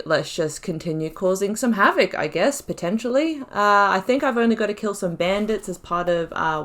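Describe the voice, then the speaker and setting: A woman's voice: medium pitch, slight background noise. A woman giving casual gameplay commentary as the host, chatty and giggly, thinking aloud as she goes. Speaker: gaming YouTuber, host of a playthrough.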